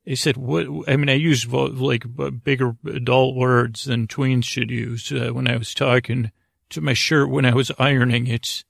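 A man with a gravelly, creaky voice, recorded close and dry. He hosts a bedtime podcast, wandering through tangents, doubling back on his words and breaking off mid-sentence. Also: mid-range voice, little pitch movement, no echo. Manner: meandering, halting, self-interrupting, with tangents and repeated words. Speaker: podcast host. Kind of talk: rambling bedtime monologue to help listeners sleep.

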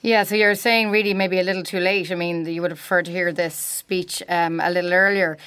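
A woman hosting a news programme, introducing guests and asking questions in a measured way. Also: on the low side, fairly even intonation, a bit bright, smooth. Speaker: news host. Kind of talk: news presenting and interviewing.

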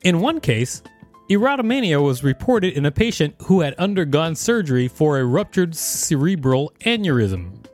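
Lively, high-voiced man reading aloud. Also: normal speed, faint music behind. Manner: playful, jokey asides between reading. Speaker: podcast co-host reading an article. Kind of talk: casual read-aloud with asides.

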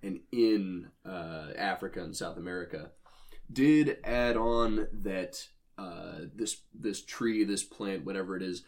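A man's voice: sits low, takes it slowly, a little mellow. A man co-hosting a casual comedic podcast, explaining things in a joking, conversational way. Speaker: podcast co-host.

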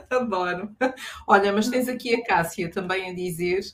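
A woman's voice: medium pitch, unhurried. A woman hosting a live talk show, introducing and addressing her guest. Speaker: host.

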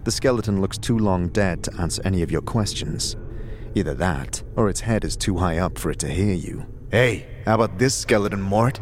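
A man's voice: deep voice, a little fast, background noise. A man reading scripted second-person narration for a fantasy audio drama.

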